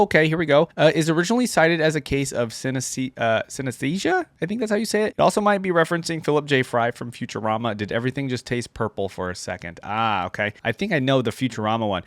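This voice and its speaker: A man's voice: mid-range voice, no echo. Dry-sounding man, casual commentary. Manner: rambling, conversational, thinking aloud. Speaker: reaction video host. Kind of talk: casual commentary on game voice lines.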